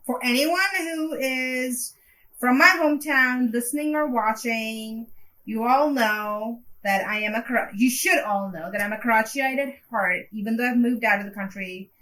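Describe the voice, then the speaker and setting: Bright-voiced woman from Pakistan. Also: a little high, measured pace, low-quality recording. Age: 30s. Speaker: podcast co-host. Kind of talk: casual podcast chat.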